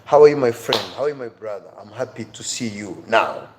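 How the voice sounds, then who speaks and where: A man's voice: medium pitch, lively intonation, brisk, noisy background. A man with an East African accent teaching a live online English class informally, emphatically repeating key words and phrases. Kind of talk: informal live-stream lesson, addressing viewers by name.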